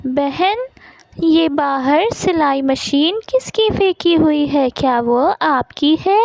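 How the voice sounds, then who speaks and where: A woman's voice: high voice, expressive, takes it slowly, vocal fry, no echo, lo-fi sound, pitched above the performer's own voice, exaggerated voice. A woman voicing a kind, humble female bird in a cartoon, speaking politely and with self-assurance. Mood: polite curiosity. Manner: polite, gentle wording. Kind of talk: Hindi cartoon character voice.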